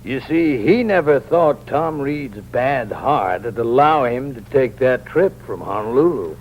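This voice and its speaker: An actor playing a shrewd, calm sheriff who drawls with wry amusement in a 1950s radio drama. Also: male voice, medium pitch, measured pace, natural voice. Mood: knowing. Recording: dull, hissy old radio broadcast.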